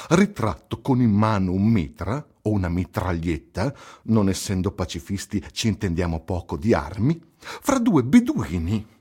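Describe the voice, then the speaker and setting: An actor playing a sarcastic, scornful newspaper columnist in a theatrical radio recital. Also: male voice, medium pitch, breathy edge, exaggerated voice. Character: sneering, sarcastic male newspaper columnist mocking a captive.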